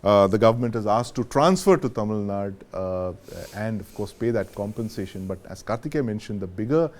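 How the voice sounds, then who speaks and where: A man's voice: on the low side, expressive, a little fast, darkish tone. A man with an Indian accent hosting a conversational news discussion. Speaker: news show host.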